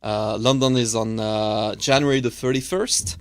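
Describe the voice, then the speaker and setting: A man in his thirties, a studio guest, chatting casually and running through a list with many pauses and uhs. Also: mid-range voice, fairly even intonation, clean tone, no echo. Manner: lists names with many uhs. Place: small cluttered radio studio. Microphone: studio mic.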